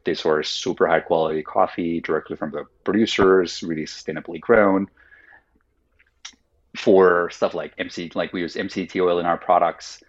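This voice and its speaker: This is a deep, husky man whose first language is Finnish, talking through his thinking in a thoughtful, roundabout way full of "like"s. The audio is dull and lo-fi. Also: fairly even intonation, moderate pace. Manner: thoughtful, explains at length with many "like"s. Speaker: guest, company founder. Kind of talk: casual podcast interview.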